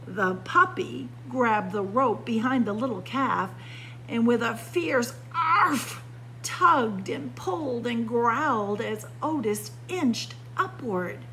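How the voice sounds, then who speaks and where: Female picture book narrator: unhurried, dramatic phrasing, recorded indoors with background noise. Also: animated, bright, forceful tone, low-quality recording. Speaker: library story time reader. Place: indoors by bookshelves. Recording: clear background noise with low hum.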